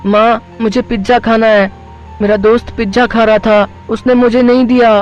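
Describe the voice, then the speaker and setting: Man as pleading cartoon little boy, very high voice, whiny, demanding, dull recording. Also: little pitch movement, normal speed, faint music behind. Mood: whining, demanding. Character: whining young boy demanding pizza from his mother. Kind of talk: Hindi moral-story cartoon voice acting.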